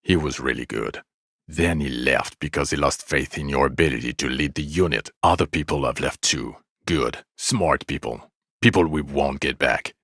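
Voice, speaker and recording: Game role: exasperated, sarcastic cop, male, gravel-throated with heavy fry, contemptuous weary scolding, close dry studio sound. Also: very deep voice, moderate pace, a bit bright, dry recording, heavily acted. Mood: bitter, sardonic. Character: bitter, exasperated, foul-mouthed male police detective, scathingly sarcastic. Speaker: video game voice actor. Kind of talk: video game character dialogue.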